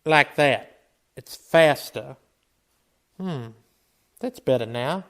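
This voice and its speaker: A man's voice: medium pitch, expressive, drawn-out words, fairly bright tone, full character voice. A man playing a terse, weary Old West town marshal in a western radio drama, with a guarded, laconic way of talking. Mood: dry, steady.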